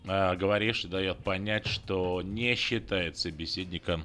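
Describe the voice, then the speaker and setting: A deep-voiced man co-hosts a radio chat show from a studio. He explains things at an unhurried pace and fills his pauses with hesitations. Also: steady tone, sharp tone, soft background music. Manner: explains slowly with fillers. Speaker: radio co-host.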